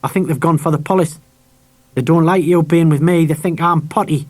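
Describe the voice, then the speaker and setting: A man acts out an eccentric beachcomber in a children's storybook. The voice sits high and is exclamatory, a blunt, excitable loner with a fatherly streak. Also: brisk, close to an everyday voice. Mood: blunt farewell. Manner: exclamatory, shouting when excited. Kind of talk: audiobook character acting. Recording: audiobook transferred from cassette tape.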